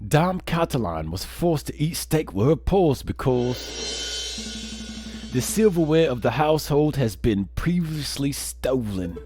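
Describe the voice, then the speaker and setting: A man voicing a confident, crafty bird defense advocate in a courtroom game, measured and dramatic. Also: mid-range voice, very animated, mellow, soft background music. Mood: assured.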